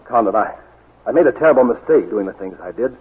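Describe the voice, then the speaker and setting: Rapid, husky radio-drama schemer. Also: male voice, lowish voice, lively intonation. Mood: wheedling. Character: shady, scheming male swindler, wheedling and indignant. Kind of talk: 1940s radio drama acting. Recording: muffled, worn 1940s radio recording.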